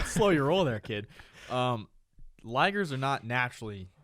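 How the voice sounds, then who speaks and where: A young man co-hosting a podcast in relaxed, teasing banter, often saying "like" and "dude". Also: medium pitch, measured pace, no echo, clean studio sound. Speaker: podcast co-host.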